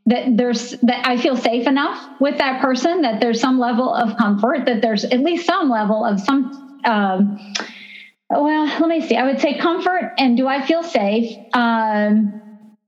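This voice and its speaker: A woman talking openly in a candid interview, often pausing and restarting her sentences. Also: a little high, dull audio. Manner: open, hesitant, many restarts and fillers.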